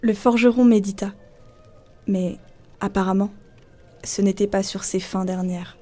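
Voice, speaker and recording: A woman narrator tells a folk tale in a mellow, husky voice at a medium pitch. The delivery is scripted storytelling, with faint music behind her. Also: steady tone, measured pace.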